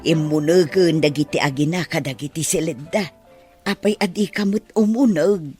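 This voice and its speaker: A woman's voice: sits low, moderate pace, warm tone, faint music behind, close to an everyday voice. A woman playing a frank, teasing older household servant in a radio drama, with an Ilocano Filipino accent. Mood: firm.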